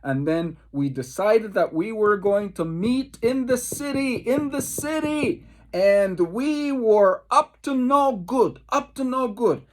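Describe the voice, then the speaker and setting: A man from the Caribbean, a pastor leading a devotional Bible study, tells personal stories emphatically, repeating phrases and pausing for effect. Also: sits high, lively intonation, a little hiss or hum.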